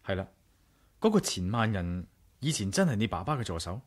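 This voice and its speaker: A man's voice: lowish voice, sing-song, brisk. A man with a Hong Kong Cantonese accent playing a curious, decisive adventurer in a 1980s radio drama. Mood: serious, curious. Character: determined, curious male adventurer, brooding and decisive.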